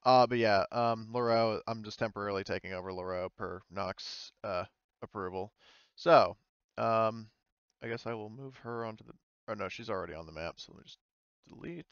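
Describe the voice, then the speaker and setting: A man casually narrating an online tabletop roleplaying game as game master, in a halting, informal way. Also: on the low side, clear, resonant tone, no room sound, muffled recording.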